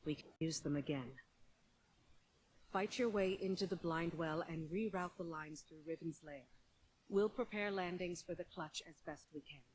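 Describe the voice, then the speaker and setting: A woman's voice: lowish voice, moderate pace, lightly acted, rough recording. A woman playing a dutiful military officer in a video game, speaking in a firm, businesslike briefing manner. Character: brisk, dutiful female military officer, skeptical but loyal. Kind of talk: game mission briefing dialogue.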